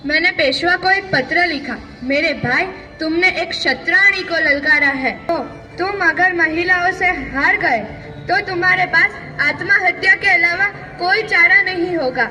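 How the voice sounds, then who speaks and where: Woman acting a very high-voiced, proud warrior queen. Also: pushed and bright, far from the mic, exaggerated voice, rough recording. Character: proud, resolute widowed Maratha warrior queen recounting her life. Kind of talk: theatrical one-person stage monologue.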